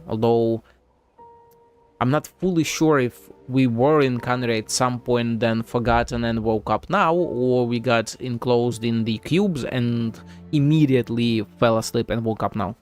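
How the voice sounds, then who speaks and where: Streamer commentary: informal, slangy, musing aloud, faint game music underneath. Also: mid-range voice. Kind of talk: casual playthrough commentary.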